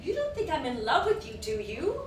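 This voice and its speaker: A woman acting an agitated, earnest society lady in a stage play, with halting, emphatic delivery. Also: high voice, huge pitch swings. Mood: probing, insistent. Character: Diana, agitated earnest society woman, indignant when accused. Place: theatre stage. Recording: roomy, distant, noisy theatre stage recording.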